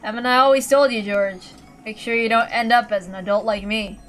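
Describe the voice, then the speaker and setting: A woman voicing a villainous uncle in a visual novel, casual and calm, with a mockingly kind manner. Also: on the high side, a little fast, close to an everyday voice. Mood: mocking, resigned. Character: cold, joking, villainous uncle with a gun. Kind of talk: dramatic visual novel character reading.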